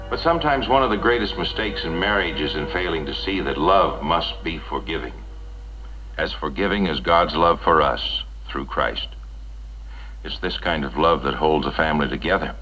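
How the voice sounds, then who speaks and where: An actor playing a calm, earnest pastor in a 1960s educational film, speaking in a measured, gently instructive manner. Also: male voice, sits low, natural voice. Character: calm, earnest pastor counselling a young wife. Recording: dull 1960s film soundtrack with music.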